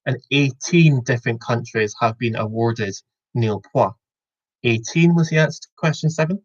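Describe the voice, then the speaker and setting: A man's voice: medium pitch, moderate pace, highs cut off. A young Scottish man in his twenties hosting a casual online quiz over a video call, rambling and correcting himself with a joking tone. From Scotland. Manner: rambling, self-correcting, jokey. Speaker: quiz host.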